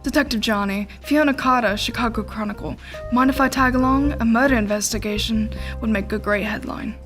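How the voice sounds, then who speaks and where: A woman's voice: fairly high pitch, fairly quick, fairly bright tone, faint music behind, lightly acted. A young woman playing an eager, fearless newspaper reporter in a noir radio drama. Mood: bold, eager. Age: young adult. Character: plucky, nosy female newspaper reporter, eager and unafraid. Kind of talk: 1940s radio noir acting.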